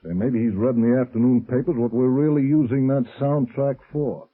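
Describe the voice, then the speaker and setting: Racketeer boss for 1930s radio crime serial, male actor: rough-edged, blustering, scheming, mid-pitched, narrow vintage sound. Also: fairly even intonation, brisk, lightly acted. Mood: scheming, uneasy. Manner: curt, blustering, hard-boiled. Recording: muffled, band-limited old radio recording.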